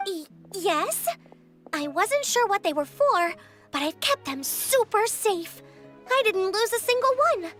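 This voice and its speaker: A woman's voice: very high-pitched, big pitch swings, measured pace, fairly bright tone, soft background music, heavily acted. A woman voicing a timid young orphan child in a game, speaking in a hesitant, stammering, earnest way. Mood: nervous. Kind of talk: video game voice acting.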